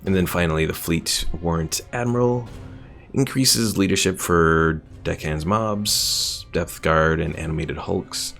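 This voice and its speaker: A man with a very low, gravelly voice chats through his strategy game on a live stream. He thinks aloud, reads out unit stats and viewer comments, and fills his pauses with plenty of uhs. Faint game music plays underneath. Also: fairly bright tone.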